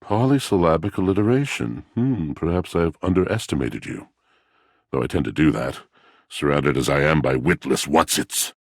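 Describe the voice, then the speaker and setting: An actor playing a brooding, melancholy washing machine in a video game, a wounded poet with a gravelly rumble and florid, pompous, theatrical delivery. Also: male voice, normal speed, no room sound, clean studio sound, full character voice. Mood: haughty, wry. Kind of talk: video game character voice acting.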